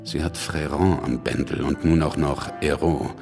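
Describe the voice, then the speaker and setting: Deep, gravelly, wry revolutionary politician. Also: male voice, unhurried, in a large hall, over music, heavily acted. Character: worldly, pragmatic revolutionary politician, wryly recounting events. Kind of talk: German radio drama, first-person narration and dialogue.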